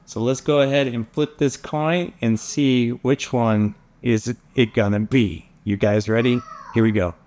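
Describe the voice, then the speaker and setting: A man's voice: mid-range voice, fairly even intonation, a little slow, hardly any pauses, a little mellow, a little hiss or hum. A man who makes videos, chatting casually in vlog style. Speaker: filmmaker, video creator.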